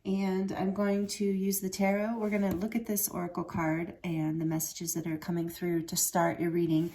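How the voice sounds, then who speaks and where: A woman in her thirties gives a casual tarot reading in a lowish voice. Her throat sounds a little rough, and she meanders with fillers and self-corrections. A faint hiss sits under the recording. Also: little pitch movement, moderate pace, dark tone. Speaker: tarot reader. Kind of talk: casual spiritual card reading.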